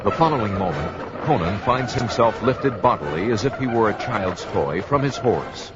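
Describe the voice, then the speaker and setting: Low, portentous male fantasy narrator. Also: lively intonation, moderate pace, over loud music, close to an everyday voice. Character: grave storyteller narrating a sword-and-sorcery tale. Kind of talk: dramatic scripted narration. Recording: dull lo-fi, loud background noise.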